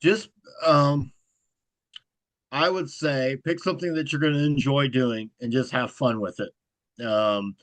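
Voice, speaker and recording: A man as a guest in a casual interview. He speaks in a self-described monotone, even manner. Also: fairly high pitch, dry recording. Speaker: guest, horror content creator.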